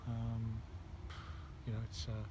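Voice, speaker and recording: A man, a football manager giving a post-match interview outdoors at night, halting with many fillers. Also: fairly low pitch, monotone. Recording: outdoor noise behind voice.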